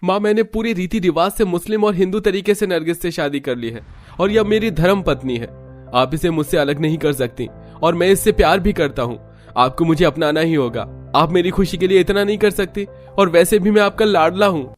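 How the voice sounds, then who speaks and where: Cartoon young husband played by a man: devoted, insistent, earnest, lifted pitch. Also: fairly quick, faint music behind, close to an everyday voice. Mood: pleading, insistent. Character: devoted, reassuring young husband and pleading son.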